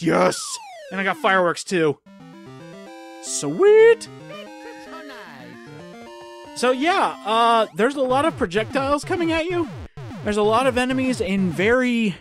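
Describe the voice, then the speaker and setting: A hoarse gameplay commentator, losing their voice to a cold, chatting casually and exclaiming at what happens on screen. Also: medium pitch, fairly bright tone. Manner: chatty, exclaims at game events. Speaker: let's play commentator. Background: faint game music.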